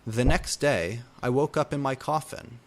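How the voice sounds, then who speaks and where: A man giving a comedic dramatic reading of fan fiction, deadpan and theatrical with drawn-out emphasis. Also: medium pitch. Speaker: fanfiction reader.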